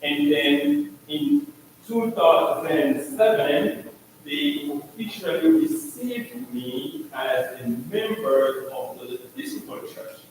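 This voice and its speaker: A Haitian-accented priest, middle-aged, addresses his church in a slow, halting way, his pitch rising high and swinging widely. He sounds far from the mic, with a long echo filling the large hall. Faint room noise sits behind him. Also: big pitch swings, vocal fry. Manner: slow, halting, with many restarts and fillers. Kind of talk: introducing himself to the congregation.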